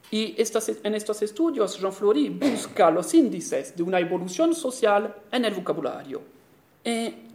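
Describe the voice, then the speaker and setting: A man's voice: sits high, darkish tone. A man with an Italian accent giving an academic conference lecture as a historian, speaking carefully and correcting himself often. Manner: careful, halting delivery with self-corrections and fillers.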